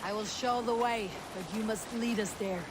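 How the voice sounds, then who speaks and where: An actress playing a fierce, loyal young Viking warrior in a video game, speaking tersely and solemnly. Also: female voice, on the high side, steady tone, normal speed, heavy background noise, close to an everyday voice. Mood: resolute. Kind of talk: video game cutscene acting.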